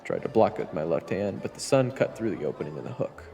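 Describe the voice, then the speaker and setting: Deep-voiced man narrating with animated pitch. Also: quick pace, gravelly, long reverb tail, faint music behind. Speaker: narrator and lead character of audio roleplay. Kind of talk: first-person scripted fantasy story narration.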